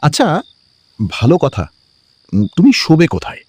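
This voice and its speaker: A lovestruck young man in a cartoon romance, with a fairly high voice that swings dramatically in pitch. He is tender, bashful and earnest, full of curiosity. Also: wildly swooping pitch, moderate pace, a little mellow, breathy edge, no room sound, full character voice. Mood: caring, insistent. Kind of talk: Bengali animated love story dialogue.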